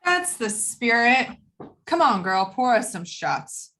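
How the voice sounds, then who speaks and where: A woman's voice: a little high, big pitch swings, takes it slowly, sharp tone, heavily acted. A woman playing a brash, bossy, hard-drinking tough in a horror script reading. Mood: flippant. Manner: sassy, bossy.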